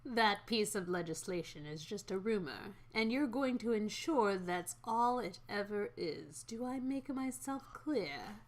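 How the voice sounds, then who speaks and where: A woman's voice: medium pitch, lively intonation, a little slow, hardly any pauses, lightly acted. A woman voicing a cold, authoritative female executive who speaks in curt, formal sentences. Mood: commanding.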